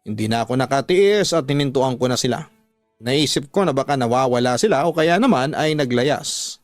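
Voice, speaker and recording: A man's voice: mid-range voice, animated, normal speed, dry recording. Dry-voiced male storyteller over music. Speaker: radio drama narrator. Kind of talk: first-person dramatic story narration.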